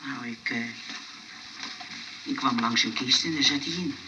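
An actor playing an excitable, earnest young man in a Dutch radio comedy. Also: medium pitch, unhurried, natural voice. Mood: sheepish, earnest. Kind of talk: Dutch radio play acting. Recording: noisy old radio play recording.

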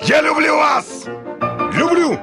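An actor playing a hot-tempered, lovestruck landowner in a theatrical radio play, blustering and breaking into exclamations. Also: male voice, very high voice, animated, heavily acted. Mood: lovestruck, exasperated. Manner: blustering, halting then bursting into exclamations. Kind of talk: theatrical Russian classic radio play acting. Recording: studio radio drama with loud music.

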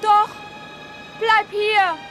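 A woman's voice: extremely high pitch, flat delivery, extremely slow, fairly bright tone, heavily acted. An actress playing an earnest, stubborn girl full of longing in a German radio play. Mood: pleading, urgent. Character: Anne, wistful, stubborn, intense girl longing to leave. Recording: music under the voice.

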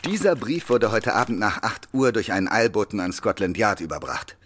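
A dutiful police major in a radio drama, with a somewhat low voice. He is measured, serious and formal toward his superiors. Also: lively intonation, fairly bright tone. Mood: formal, reading aloud. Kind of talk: German radio play acting.

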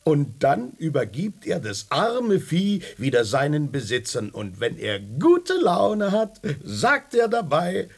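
A man's voice: a little high, big pitch swings, slow, vocal fry, hardly any pauses, slightly rough, heavily acted. A man playing a smug, lecturing cat in a radio drama, pedantic and sardonic, stretching words for emphasis.

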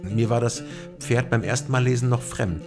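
A man's voice: medium pitch, moderate pace, a little mellow. A male actor talking reflectively in an interview. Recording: guitar music behind the voice. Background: plucked guitar music.

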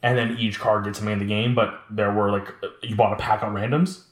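Man, late twenties, rapid-fire casual talker, animated storyteller, echoey room sound. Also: on the low side. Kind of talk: casual chat about collectibles. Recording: roomy, echoing room sound.

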